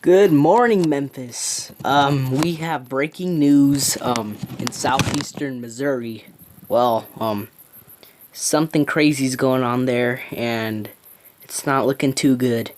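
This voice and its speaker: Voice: mid-range voice, noisy background, close to an everyday voice. A person playing a hesitant, worried local morning news anchor, stumbling informally through an improvised breaking news report. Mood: hesitant, concerned. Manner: halting, stumbling, informal delivery.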